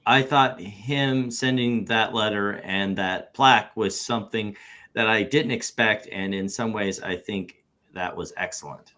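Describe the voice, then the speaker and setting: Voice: medium pitch, a little slow, sharp tone. A performer reading a deposition transcript as an evasive male businessman who hedges his testimony as a witness. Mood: evasive, stubborn. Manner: evasive, hedging, noncommittal. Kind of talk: read-aloud deposition transcript.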